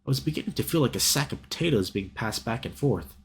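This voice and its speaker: Lively young man reading to stream chat. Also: medium pitch, fast talker, slight background noise. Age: young adult. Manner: playful, expressive, bantering with chat. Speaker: streamer reading a visual novel aloud. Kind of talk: animated let's play reading and chat banter.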